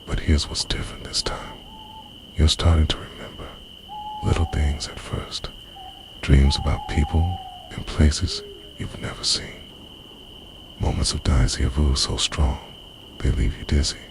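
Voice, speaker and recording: A man playing a possessive, menacing immortal lover in an intimate, slow, breathy ASMR roleplay monologue. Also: noisy background. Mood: tender, haunted. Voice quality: very deep, breathy whisper.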